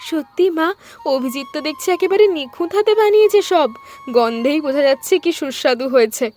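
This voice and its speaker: A woman's voice: sits high, fairly quick, a little mellow, natural voice. A woman voicing a dutiful, cheerful young daughter-in-law with a reassuring, earnest manner in a cartoon. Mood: delighted. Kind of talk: dramatized cartoon dialogue. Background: background music.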